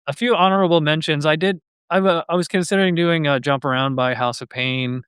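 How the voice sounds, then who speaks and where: A man's voice: a little high, steady tone, a little fast, a bit bright, clear, resonant tone, no room sound, clean studio sound. A man hosting a podcast in a casual, chatty style. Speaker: podcast host.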